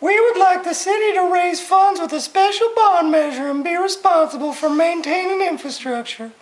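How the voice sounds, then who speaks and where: A woman's voice: very high-pitched, normal speed. A woman playing a pushy, haggling company representative in a scripted amateur puppet show. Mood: businesslike, pushy.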